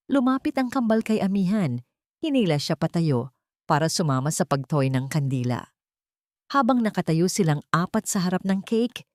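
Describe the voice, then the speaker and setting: A woman reading a scripted dramatic story as narrator, in short, deliberate, measured phrases. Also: fairly low pitch, dark tone, dry recording, clean studio sound.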